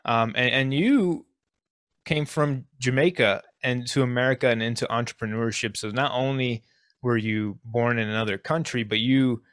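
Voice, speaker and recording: A man's voice: medium pitch, fairly even intonation, moderate pace, fairly bright tone, no echo, studio quality. A man hosting a podcast interview, speaking earnestly and appreciatively, with frequent restarts. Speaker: podcast host.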